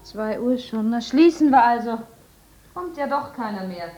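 An actress playing a saucy, shrewd young barmaid in a 1950s German radio drama, coy and cool in manner. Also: female voice, on the high side, animated, unhurried, warm tone, a little hiss or hum, rough recording. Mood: coy, teasing.

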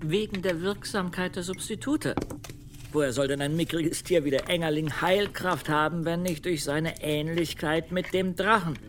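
A man plays an eager Jesuit scholar in a radio play who jumps in crisply with whatever word his companion is missing. His voice sits a little high for a man, with faint room noise behind it. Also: normal speed, a bit bright, close to an everyday voice. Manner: crisp, supplies missing words. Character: eager scholarly Jesuit assistant, quick to prompt.

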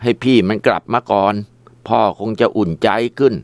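A man's voice: lowish voice, fairly even intonation, normal speed, creaky, no echo. A man voicing a worried old father in a spoken story, giving urgent, fatherly warnings.

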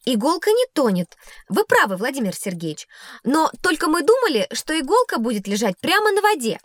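Lively, high-voiced inquisitive schoolgirl. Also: fairly quick, no room sound, clean studio sound. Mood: polite, intrigued. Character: bright, inquisitive schoolgirl Masha, polite and enthusiastic. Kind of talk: scripted educational audio drama.

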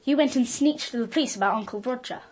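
A woman's voice: a little high, fast, breathy edge. A woman playing a precocious, bossy young girl in a radio drama, blunt, smug and taunting.

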